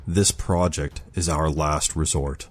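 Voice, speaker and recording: Grave, commanding leader, voiced by a male narrator: low-pitched, formal oratory over faint music. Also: fairly even intonation, takes it slowly. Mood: solemn, resolute.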